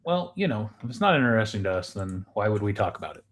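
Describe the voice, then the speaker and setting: A man's voice: on the low side, very animated, quick pace. A man co-hosting a show in casual chat as he tries snacks and drinks.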